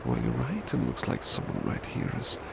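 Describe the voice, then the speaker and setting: A man playing a devoted, proud butler in a soft-spoken ASMR roleplay, speaking in a hushed, formal and caring way. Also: mid-range voice, very animated, creaky. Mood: soothing. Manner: hushed, slow, formal, gently solicitous. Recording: strong background ambience noise.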